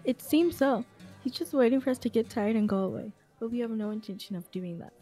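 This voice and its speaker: A resolute young protester in a radio play acted by a woman. The voice is somewhat high, read in an amateur style over clear music. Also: lively intonation, brisk, mellow. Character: resolute, worried young male student protester. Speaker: student actor in a class radio drama. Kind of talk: amateur radio drama reading.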